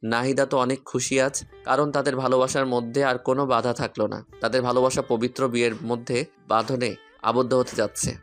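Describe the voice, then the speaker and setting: Male story narrator, mid-pitched voice, guitar music underneath. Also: level tone, brisk. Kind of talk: scripted first-person story narration with acted dialogue.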